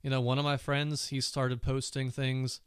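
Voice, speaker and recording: A man's voice: medium pitch, flat delivery, normal speed, clear, resonant tone, no room sound, studio quality. A man hosting his own casual tech podcast, chatty and full of fillers, with a cold and a sore throat. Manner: chatty, many "you know" fillers. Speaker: podcast host.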